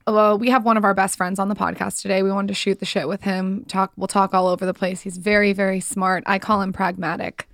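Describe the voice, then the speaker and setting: A woman's voice: medium pitch, little pitch movement, a little fast, creaky, few pauses, dry recording. A woman co-hosting a podcast in casual conversation, informal, teasing and chatty. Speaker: podcast co-host. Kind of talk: casual chat.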